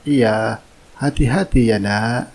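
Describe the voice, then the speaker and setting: A man's voice: medium pitch, slow, low-quality recording. Man voicing a courteous, commanding wealthy father. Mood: relaxed, courteous. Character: courteous, authoritative wealthy building owner and father. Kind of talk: cartoon drama dubbing.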